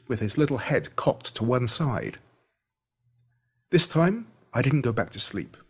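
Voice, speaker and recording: An Australian man reading a scripted first-person story in an expressive, dramatic storytelling manner. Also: medium pitch, husky. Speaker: audiobook narrator.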